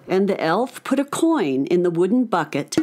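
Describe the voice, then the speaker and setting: Animated woman narrating a children's story. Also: fairly low pitch, moderate pace, noisy background.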